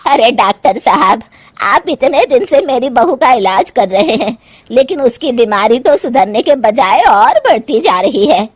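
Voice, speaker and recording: Woman acting exasperated, high-pitched cartoon mother-in-law. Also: sing-song, unhurried, slightly rough, heavily acted. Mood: worried complaining. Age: older. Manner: scolding, complaining, theatrical. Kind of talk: Hindi cartoon moral story acting.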